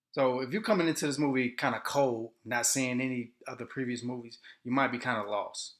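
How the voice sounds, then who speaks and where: A man hosting a casual, unscripted movie review, speaking in halting, deliberate phrases with pauses. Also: medium pitch, a bit bright.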